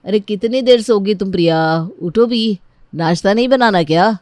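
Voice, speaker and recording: A woman's voice: mid-range voice, moderate pace, a little mellow, no room sound, close to an everyday voice. A woman voicing an eager, impatient young bridegroom in a family story, coaxing and insistent.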